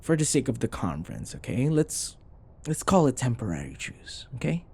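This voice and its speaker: Man acting a cocky, flirty office rival, swinging pitch. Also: big pitch swings, a little mellow, full character voice. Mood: grudging, conciliatory.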